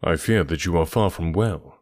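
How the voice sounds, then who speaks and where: A composed detective laying out his case in calm, deliberate phrases, as a male narrator plays him. His voice is low and steady. It sounds close and dry. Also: no echo, lightly acted.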